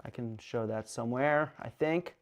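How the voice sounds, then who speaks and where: A man hosting a casual interview over a video call, chatty and quick to laugh, often stumbling and restarting his sentences. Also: medium pitch. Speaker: host.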